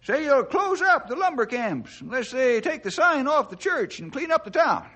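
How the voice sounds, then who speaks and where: An actor playing a folksy, excitable old sidekick in a radio drama, talking like a rustic old-timer. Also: male voice, very high-pitched, moderate pace, exaggerated voice. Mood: agitated, eager. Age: older.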